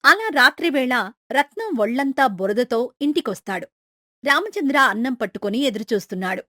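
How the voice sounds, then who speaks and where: A woman's voice: high-pitched, very animated, moderate pace, pushed and bright, no room sound, studio quality. A woman reading scripted story narration as the storyteller.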